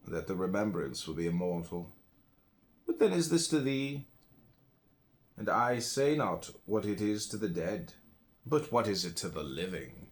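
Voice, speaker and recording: An American man reading classical philosophy aloud as an audiobook, in a solemn, emphatic, declamatory manner. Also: on the low side. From United States. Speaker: audiobook reader.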